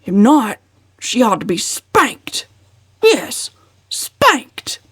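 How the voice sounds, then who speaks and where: A woman's voice: fairly high pitch, wildly swooping pitch, very slow, slightly rough, no room sound, pitched above the performer's own voice, exaggerated voice. A self-important older uncle from a storybook, acted out by a woman, jovial one moment and indignant the next. His talk has a growling edge and a pompous manner, and the sound is dry and close. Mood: outraged.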